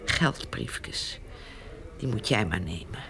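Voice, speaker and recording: An actress playing a weary, stubborn old farm mother in a radio play, halting and often breathy. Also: rumbling low, lively intonation, crowd noise, heavily acted. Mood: hushed, insistent. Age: older. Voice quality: creaky, often breathy, near-whispering. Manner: slow, halting, insistent. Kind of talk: Dutch radio drama acting.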